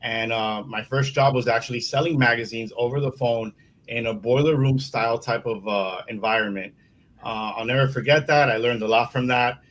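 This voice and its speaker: A man's voice: medium pitch, fairly even intonation, moderate pace, fairly bright tone. A middle-aged man from Miami, a sales consultant, telling his career story as an interview guest with many uh's and restarts. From Miami, Florida. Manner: rambling, many fillers and restarts. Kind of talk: casual interview talk.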